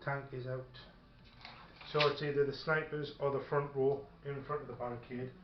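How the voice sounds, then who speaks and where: A man's voice: medium pitch, level tone, moderate pace. A man playing a tabletop wargame, chatting casually and hesitantly as he thinks aloud and reads out rules. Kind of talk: casual game chat, partly reading rules aloud. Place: indoor room during a filmed tabletop game. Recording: roomy, dull, faint background noise. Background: faint noise, radio playing.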